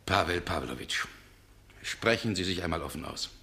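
An actor in a radio play voicing a stern, irascible, domineering gentleman who is impatient and contemptuous. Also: low-pitched, lively intonation, normal speed, gravelly, exaggerated voice. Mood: probing, contemptuous. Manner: forceful, impatient, contemptuous, commanding. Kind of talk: German radio play acting.

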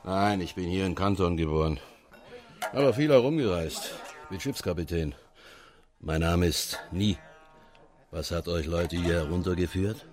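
A man playing a worldly, hospitable sea captain of old Canton in a radio drama, speaking in a courteous, measured manner. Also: sits low, a little mellow, soft background music. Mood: curious.

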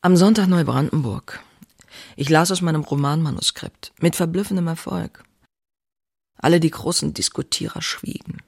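A woman's voice: low voice, normal speed, creaky, a little mellow, husky, full character voice. An actress playing an outspoken, passionate woman writer who confides in her diary in an intimate, wry way. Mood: intimate, restless. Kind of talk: dramatized diary reading, scripted narration.

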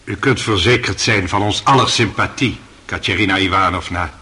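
An actor playing a stern, authoritative presiding judge, formal and commanding, in a radio drama. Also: male voice, low-pitched, moderate pace, bright, forceful tone, husky, exaggerated voice.

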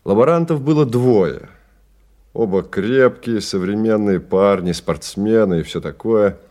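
An actor narrating a bleak science-fiction radio play as a grave, measured, dramatic literary storyteller. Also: male voice, on the low side, dark tone, lightly acted.

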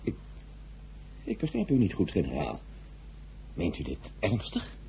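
An actor playing a formal, cautious, self-important brother-in-law in a radio drama, with a stiff, pompous manner. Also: male voice, sits low, wildly swooping pitch, unhurried, vocal fry, mellow, a little hiss or hum, exaggerated voice. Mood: puzzled, cautious.

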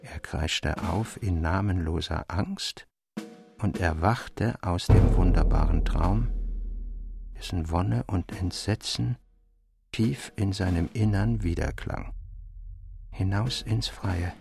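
A man narrating a literary tale in a measured, expressive and articulate dramatic audiobook style. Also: deep voice, vocal fry, music in the background.

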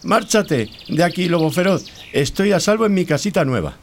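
Man playing a high-voiced lazy little pig. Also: expressive, normal speed, a little hiss or hum. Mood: nervous. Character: lazy, fearful young male pig, carefree cartoonish brother. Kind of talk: children's radio play.

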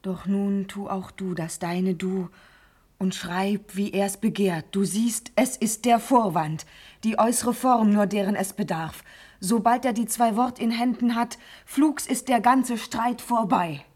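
An actress playing an ardent, pleading young noblewoman in a classical verse radio drama, fervent and declamatory, often dropping into a breathy whisper. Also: mid-range voice, a little slow, a little mellow. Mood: urgent, pleading.

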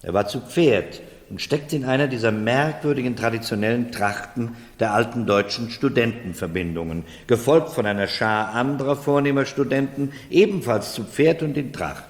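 An actor in a 1970s German radio play, playing a vain, self-mocking middle-aged gentleman who talks in a dry, insistent, aggrieved way. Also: mid-range voice, normal speed, few pauses, natural voice. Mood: reminiscing, boastful. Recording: reverberant room, vintage radio drama.

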